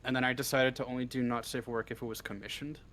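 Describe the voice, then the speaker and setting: A man with a medium-pitched voice answers interview questions in a casual way. He is halting and a little self-deprecating, and he swears offhandedly. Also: fairly even intonation, fairly bright tone. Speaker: interview guest, script writer. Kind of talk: casual chat.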